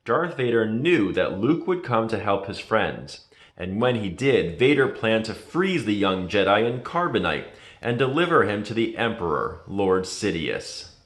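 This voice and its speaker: A man reading a children's story aloud as a scripted narration, in a dramatic, deliberate manner. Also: lowish voice, big pitch swings. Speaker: storybook reader. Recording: echoey room.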